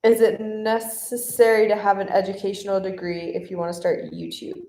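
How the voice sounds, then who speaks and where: A woman's voice: mid-range voice, steady tone, moderate pace, mellow. A young woman co-hosting a travel vlog livestream, reading out viewer questions in a casual, upbeat way and laughing easily. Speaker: travel vlogger co-host. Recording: roomy echo.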